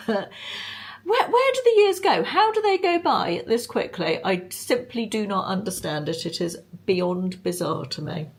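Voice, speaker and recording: A woman's voice: medium pitch, big pitch swings, a little slow. A British woman in her late fifties talks chattily to camera, rambling and digressing with frequent small chuckles, recorded on the camera mic with no lapel mic. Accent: British English. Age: 50s. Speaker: vlogger.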